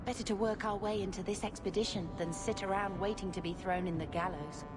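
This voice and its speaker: A woman's voice: medium pitch, fairly even intonation, fairly quick, music in the background, natural voice. A woman voicing an earnest, practical young adventurer in a fantasy video game. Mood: earnest, determined.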